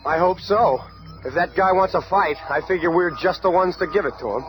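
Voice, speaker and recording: Guy doing a cocky eager kid-brother superhero, highish voice, 70s radio play with music under. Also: fairly quick, close to an everyday voice, rough recording. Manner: brash, eager. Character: cocky hot-headed young male superhero. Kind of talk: 1970s superhero radio drama. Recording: music behind, old radio show.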